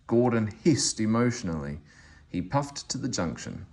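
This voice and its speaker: A man narrating a children's storybook aloud in an expressive, animated way. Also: lowish voice, normal speed. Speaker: story reader.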